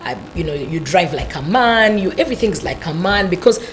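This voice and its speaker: Ugandan woman with a deep, low voice. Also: animated, brisk, a little hiss or hum, rough recording. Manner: thoughtful, explanatory, many "you know" asides. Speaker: guest. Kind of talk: talk show conversation.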